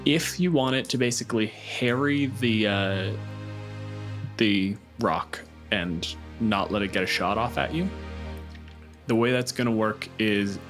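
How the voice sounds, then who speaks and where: A man's voice: fairly low pitch, a little slow, a bit bright, faint music behind. A man acting as game master, casually explaining rules and narrating the action of a tabletop game.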